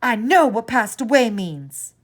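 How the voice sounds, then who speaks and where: A woman's voice: high-pitched, expressive, normal speed, pitched above the performer's own voice. Woman doing a distraught young pioneer girl. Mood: furious. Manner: pleading, then shouting and indignant.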